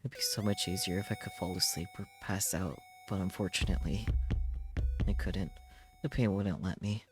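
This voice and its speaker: A man's voice: sits low, moderate pace, soft background music. A man streaming a visual novel, reading its narration aloud and dropping in casual asides.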